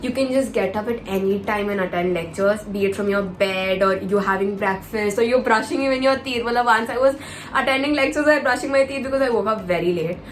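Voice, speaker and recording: A woman's voice: mid-range voice, brisk, roomy sound, slight background noise. A young woman from Mumbai with an Indian English accent, chatting casually to the camera as a student. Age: young adult. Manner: chatty, animated when quoting friends.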